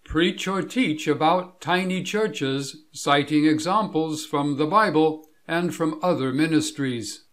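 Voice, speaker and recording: Man, somewhat high pitch, very slow pace, deliberate scripted narration, measured delivery.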